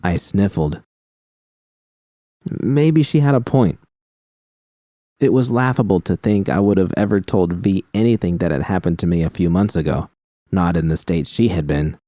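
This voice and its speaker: Deep-voiced man reading fan fiction. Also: moderate pace, pauses often, warm tone, dry recording. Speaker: reader. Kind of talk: first-person fan fiction narration.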